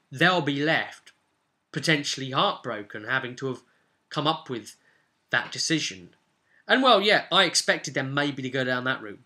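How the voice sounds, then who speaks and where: A man's voice: mid-range voice, bright, forceful tone. A man speaking as a game master, recounting play informally and explaining with emphatic, repeated phrases. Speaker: game master recounting his tabletop session.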